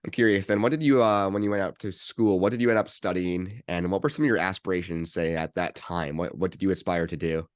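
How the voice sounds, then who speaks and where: A man's voice: deep voice, steady tone, fast, few pauses, no echo, clean studio sound. A man hosting a podcast interview in a conversational style, politely putting questions to his guest.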